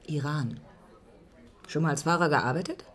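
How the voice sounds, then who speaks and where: A woman playing a cheeky, sardonic receptionist in a radio drama, brisk and teasing with an ironic edge. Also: low voice, natural voice. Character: cheeky, sardonic Iranian-born woman receptionist at tech firm. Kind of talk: German radio drama acting.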